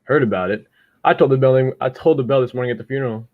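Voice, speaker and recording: A man's voice: mid-range voice, fast, phone-quality sound, a little hiss or hum, lightly acted. A man playing a chatty, self-important village postman and bell ringer in a radio drama, talking in a folksy, boastful way. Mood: chatty, pleased.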